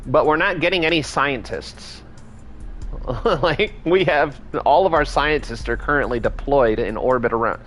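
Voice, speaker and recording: A man's voice: medium pitch, unhurried, fairly bright tone, faint music behind. A man in his thirties giving casual, rambling commentary while playing a space game, thinking aloud as he builds. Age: 30s. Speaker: game streamer.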